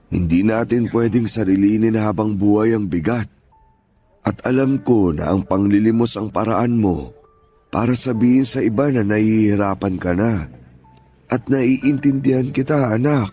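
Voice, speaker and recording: A man playing an aging father in a radio drama, stern at first and then gentle and pleading with his grown son. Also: on the low side. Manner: pleading, earnest, scolding turning gentle. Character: aging father, stern yet tender, grieving. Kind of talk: Tagalog radio drama acting.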